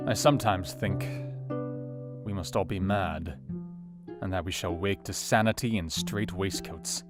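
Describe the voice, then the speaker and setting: Casting: man as a doctor narrating his journal in audio drama, lower-leaning pitch, music bed. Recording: music behind the voice.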